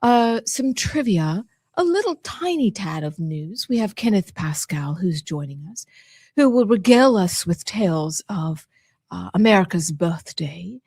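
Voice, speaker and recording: A woman's voice: fairly low pitch, lively intonation, unhurried, darkish tone, breathy edge, no echo, clean studio sound. A woman hosting a celebratory talk show in a playfully ceremonious manner, speaking with a put-on British accent. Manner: mock-formal, playfully ceremonious. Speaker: show host.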